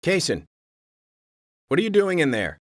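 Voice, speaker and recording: A man's voice: fairly high pitch, huge pitch swings, normal speed. A man playing a cool, probing investigator in a video game, firm, pointed and matter-of-fact. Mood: urgent. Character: cool, probing male investigator interrogating suspects. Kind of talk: video game cutscene acting.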